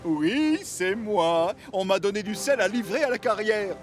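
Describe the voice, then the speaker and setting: A man's voice: high-pitched, animated, unhurried, faint music behind. A man voicing a friendly, eager locomotive in a children's cartoon, courteous and cheerful. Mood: friendly, polite.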